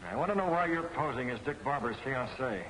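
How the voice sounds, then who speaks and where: An actor playing a stern masked crime fighter, terse and demanding, in 1940s film serial style. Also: male voice, medium pitch, lively intonation, brisk, background noise, close to an everyday voice.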